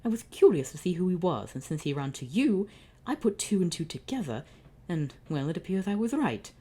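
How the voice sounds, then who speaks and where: A woman's voice: on the low side, big pitch swings, brisk, mellow, breathy edge, pitched above the performer's own voice. A woman voicing a scheming, lisping wannabe-pharaoh man who plays the villain in a fan story reading. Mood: smug. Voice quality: lisp.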